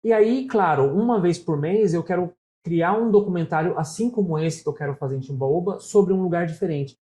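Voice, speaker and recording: A talkative middle-aged Brazilian man with a São Paulo accent, a guest in a relaxed interview. His voice sits a little high for a man but sounds warm and mellow, and he tells his stories at length, tagging his sentences with "né?". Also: brisk. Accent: São Paulo Brazilian Portuguese. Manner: storytelling, talkative, frequent "né?" tags. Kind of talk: casual interview conversation.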